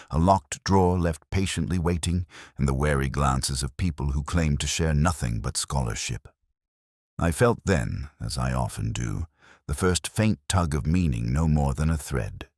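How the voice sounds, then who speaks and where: A man performs a thoughtful, literate detective narrating an audio drama in an exceptionally deep voice. The delivery is reflective and formal, yet moves expressively in pitch. The sound is dry, with no room around it. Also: no room sound, heavily acted. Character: brooding, literate male police inspector recounting a case.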